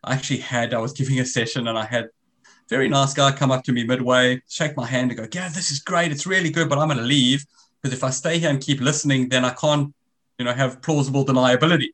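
A man's voice: medium pitch, fairly even intonation, fast, fairly bright tone. A man in his forties with a South African accent, speaking as an interview guest over a remote call and explaining things in long runs with frequent restarts. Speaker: guest, risk business founder. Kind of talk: conversational interview.

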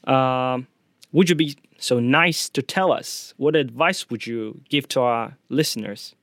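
A man's voice: mid-range voice, unhurried, no room sound. Young Russian-accented man, podcast co-host, hesitant and dryly teasing, mid-range voice, dry recording. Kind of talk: casual podcast chat.

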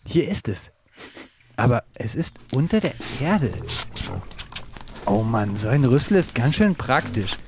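Animated man in a children's audio play. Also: mid-range voice, measured pace, long pauses, a little hiss or hum. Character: himself turned into a reluctant pink pig. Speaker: podcast co-host.

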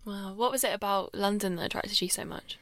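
A woman's voice: mid-range voice, fairly quick, a bit bright, no room sound, clean studio sound. Encouraging woman hosting a podcast. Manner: encouraging, with frequent short affirmations. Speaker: podcast host. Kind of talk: casual interview questions.

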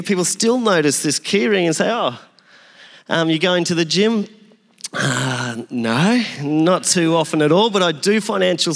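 A man's voice: sits high, fairly bright tone. A man in his forties giving a sermon as pastor, opening with prayer and telling self-deprecating stories in a conversational way. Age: 40s. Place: church hall. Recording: long hall reverb.

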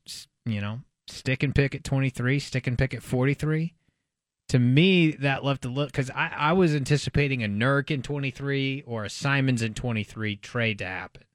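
An American man co-hosting a sports talk show, speaking in a casual, animated, conversational way. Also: medium pitch, no echo, clean studio sound. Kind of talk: casual sports radio discussion.

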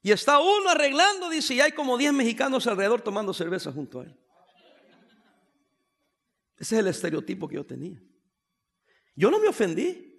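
High-voiced Salvadoran-born man preaching. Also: creaky, a bit bright. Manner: conversational preaching with humorous asides. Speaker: pastor. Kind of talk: sermon.

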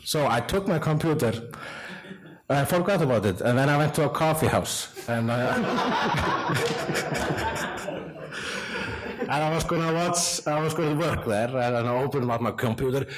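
A man's voice: a little high, lively intonation, unhurried, long pauses, heavy background noise. A man whose first language is Icelandic, hosting a live podcast and telling rambling jokey stories in English. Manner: rambling, joking, laughing storyteller. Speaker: podcast host. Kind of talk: live podcast chat with audience questions. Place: live event stage.

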